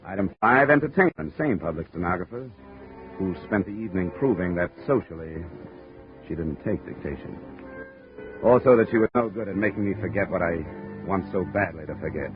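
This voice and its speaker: Tough weary american detective narrator, low and wry, muffled 1950s radio sound. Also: male voice, lively intonation, fairly quick. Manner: terse, wry, hardboiled. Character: tough, world-weary male insurance investigator narrating his case. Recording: muffled 1950 radio recording, music behind.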